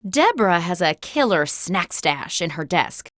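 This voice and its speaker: A woman playing a chipper corporate office interviewer who reads scripted party game questions in a crisp, expressive way. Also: lowish voice, a little slow, dry recording, studio quality. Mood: upbeat, quizzical. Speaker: game host.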